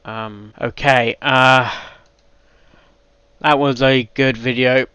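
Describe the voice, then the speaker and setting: A man's voice: mid-range voice, steady tone, slow, heavy background noise. A man giving emotional reaction commentary, blunt and sweary. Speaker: reaction video host.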